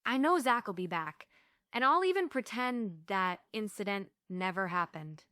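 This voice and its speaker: A woman's voice: mid-range voice, expressive, measured pace, bright, forceful tone, dry recording, studio quality. A woman playing a yearning, stubborn, emotional heroine in a script read of a musical stage play. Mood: desperate, stubborn.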